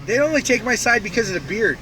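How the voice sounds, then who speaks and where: A man's voice: very high voice, lively intonation, fast talker, bright, forceful tone, slight background noise. A man co-hosting a podcast in casual banter, grumpy, curt and freely swearing. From Canada. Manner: grumpy, curt, foul-mouthed. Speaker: podcast co-host.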